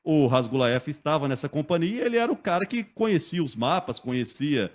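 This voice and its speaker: A man telling local history as a guest in a radio studio, lively and conversational, often checking in with the listener. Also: fairly high pitch, a little fast. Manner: lively storyteller, acts out quotes, often asks "né?".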